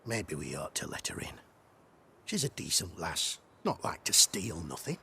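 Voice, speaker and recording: An actor playing a gruff, rule-bound but soft-hearted city guard in a video game, with a rough voice. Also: male voice, sits low, sing-song, moderate pace, heavily acted. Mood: persuading.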